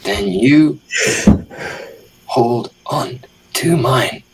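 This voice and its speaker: A man playing a dead adventurer in tabletop roleplay, solemn and tender, speaking in halting fragments. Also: medium pitch, a little hiss or hum, poor audio. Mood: tender, mournful. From Britain. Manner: halting, short clipped fragments. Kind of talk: improvised tabletop roleplay drama.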